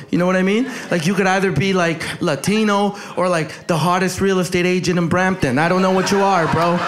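A young man from El Salvador doing a brash, crowd-working stand-up set on a club stage, holding a handheld mic. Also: sits high. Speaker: stand-up comic. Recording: echoey club with crowd noise.

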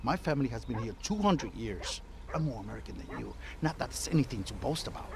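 An actor playing a proud, bitter old man in a video game, deliberate and contemptuous. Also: mid-range voice, very animated, creaky. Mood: scornful. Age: older. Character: proud, bitter, scornful old man defending his family. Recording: faint outdoor background noise.

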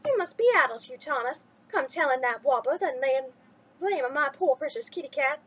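A woman reading a storybook aloud, voicing a sharp-tongued young girl who scolds. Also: sits high, huge pitch swings, unhurried, breathy edge, exaggerated voice. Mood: scornful.

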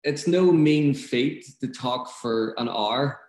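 A man's voice: medium pitch, slow pace, a little mellow. A man in his thirties with a Belfast accent, a film director speaking thoughtfully and earnestly over a video call. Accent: Northern Irish, Belfast. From Northern Ireland. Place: room at home. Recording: echoey room.

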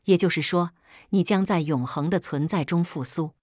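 A woman reading an audiobook as a scripted, solemn narration in a husky voice. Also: on the low side, normal speed, darkish tone, dry recording, studio quality.

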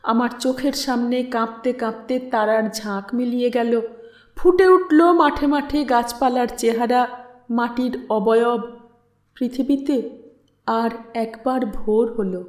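A woman reading a literary story aloud as an audiobook narrator, in an expressive, dramatic way. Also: on the high side, measured pace. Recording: roomy echo on the voice.

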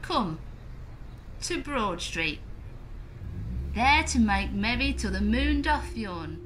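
A woman's voice: on the high side, very animated, slow. A woman playing a jaded, mocking tavern reveller who rallies companions, in an audio drama. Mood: mocking, rousing. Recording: loud crowd and street noise.

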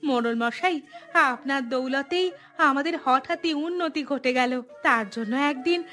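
A woman's voice: high-pitched, unhurried, pushed and bright, soft background music. A woman playing a polite, humble village housewife who sells vegetables in a cartoon. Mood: pleased, grateful. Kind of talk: cartoon voice acting.

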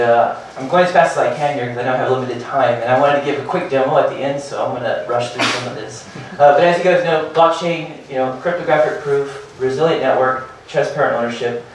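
Guy in his 30s rushing through a tech talk, lots of ums and restarts, very echoey room, mic far off. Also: mid-range voice, slight background noise. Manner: hurried, filler-heavy, restarts and repeats words. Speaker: startup presenter. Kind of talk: conference talk. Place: meetup room with projector and seated audience.

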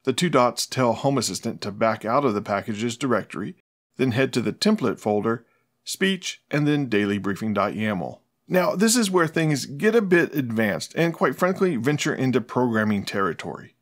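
A man's voice: mid-range voice, big pitch swings, moderate pace. A middle-aged man, presenting a scripted tutorial in a plain-spoken, explanatory manner. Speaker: host of a smart home tutorial channel.

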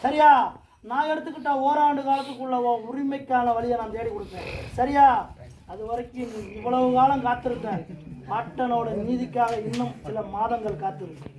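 A man with a strikingly high voice delivers oracle pronouncements as a possessed ritual medium. He speaks in commanding, chant-like repeated phrases, pressing again and again for agreement and at times rising to a shout. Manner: commanding, repeating short demands for assent.

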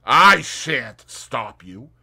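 A man's voice: mid-range voice, sing-song, extremely slow, gravelly, pushed and bright, full character voice. A man reading an audiobook, voicing a cheerful young man with a bantering, mock-pompous wit. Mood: teasing.

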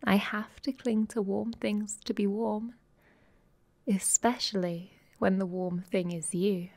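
A woman's voice: medium pitch, fairly even intonation, slow, darkish tone, no echo. A woman playing a playfully dominant, affectionate vampire girlfriend in an intimate ASMR roleplay, cooing and teasing, often dropping toward a whisper. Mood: sleepy, cuddly.

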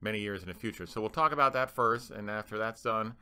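A man giving a halting, explanatory voice-over for a slide presentation, speaking as a manager laying out plans. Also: fairly low pitch, pushed and bright, clear, resonant tone.

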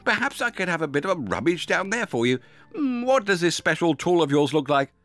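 A man's voice: fairly high pitch, very animated, brisk, fairly bright tone, pitched above the performer's own voice. A man voicing a helpful male beaver in a children's story, humming and hesitating with old-fashioned politeness. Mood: genial pondering. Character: helpful, genial, dithering male beaver chewing wood.

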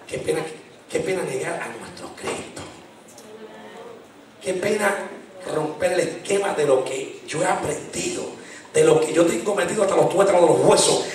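A middle-aged man preaching a fervent sermon into a handheld mic in a small church, shouting at the peaks and dropping low in between. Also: moderate pace, pauses often, far from the mic. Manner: impassioned preaching, shouting at climaxes. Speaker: pastor. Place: small church sanctuary, indoors. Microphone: handheld mic, filmed from far. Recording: very echoey church from afar.

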